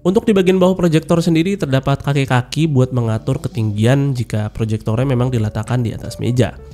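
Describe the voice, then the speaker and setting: A man's voice: mid-range voice, brisk, warm tone, soft background music. A young man giving a casual product review as a voice-over, his voice nasal and stuffy from a cold, chatty and informal. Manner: chatty and informal, with many filler particles. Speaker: tech reviewer. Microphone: voice-over mic.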